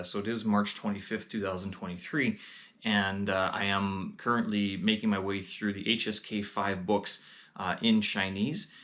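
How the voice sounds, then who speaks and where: A man speaks in a slightly low voice as he hosts his own vlog. His delivery is casual and unscripted, full of "uh" fillers and small restarts as he works out his thoughts. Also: a bit bright. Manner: hesitant, many "uh" fillers and restarts. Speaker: vlog host. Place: lives in Beijing.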